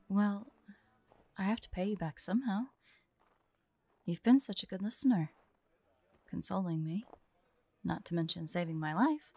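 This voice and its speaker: A woman acts out a forlorn young huntress in an intimate audio roleplay. She speaks slowly and hesitantly, soft enough to slip into whispers, with a creaky fry at the edges. The sound is dry and close, and her manner is guarded yet vulnerable and affectionate. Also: mid-range voice, no echo. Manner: hesitant, soft-spoken, halting, often drifting into whispers.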